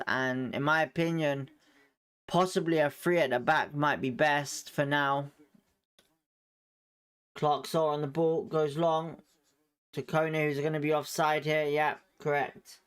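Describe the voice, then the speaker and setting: A man talks through a match as a live fan host, calling the action in a casual, opinionated way and often hedging his views. His voice is clear and smooth, sitting a little above the usual male pitch. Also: a bit bright.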